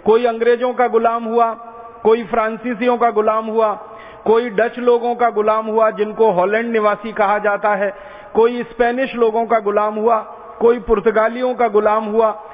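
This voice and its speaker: A man's voice: high-pitched, flat delivery, normal speed. A man from India giving an impassioned public lecture, driving home each point with repeated parallel phrases. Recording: tinny phone-like sound in a reverberant hall.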